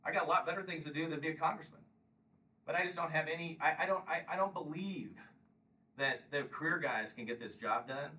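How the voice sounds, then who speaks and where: Man in his fifties, forceful combative stump speech, higher voice, picked up from across the room. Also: fairly quick, far from the mic, low-quality recording. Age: 50s. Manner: combative, emphatic, repeats "Okay?". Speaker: congressional candidate.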